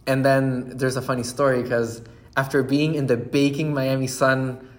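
Animated man, laughing storyteller. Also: medium pitch, normal speed. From Chile. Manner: animated, laughs often, many restarts. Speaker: brand founder, guest. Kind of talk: casual interview storytelling.

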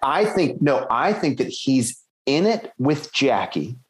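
A man's voice: medium pitch, slow pace. Man musing through a mystery theory. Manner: thinking aloud, hedging, lively emphasis. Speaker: podcast co-host. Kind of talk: casual book-club chat.

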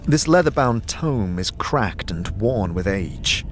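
Low-voiced man narrating fantasy drama. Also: lively intonation. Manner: measured, dramatic storytelling. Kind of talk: scripted second-person fantasy narration. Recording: clear music behind.

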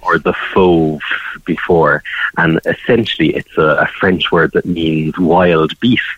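A man talking in a measured, descriptive way as an art gallery guest in a radio interview. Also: very deep voice, fairly even intonation, husky. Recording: dull band-limited, roomy remote radio feed.

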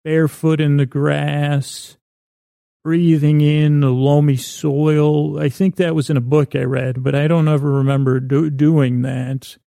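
A man with a gravelly, creaky voice wanders through a silly bedtime monologue. His tone is mellow and relaxed, and he stumbles and doubles back on words in a self-deprecating way. The sound is close and dry. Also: a little high, no room sound. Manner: meandering, halting, stumbling over and repeating words. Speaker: podcast host. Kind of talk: rambling bedtime podcast monologue.

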